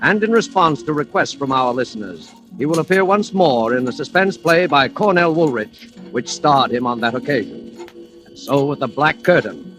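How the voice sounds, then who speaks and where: Male radio announcer, 1940s style, formal, scripted, medium pitch, music behind. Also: animated, moderate pace. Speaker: radio show announcer and host. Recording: old radio broadcast with music.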